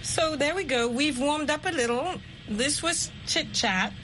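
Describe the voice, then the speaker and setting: A woman hosting an English lesson show, explaining simple words deliberately and chatting with guests. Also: high voice, background noise. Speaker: host and English teacher. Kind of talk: slow, clearly explained language lesson chat.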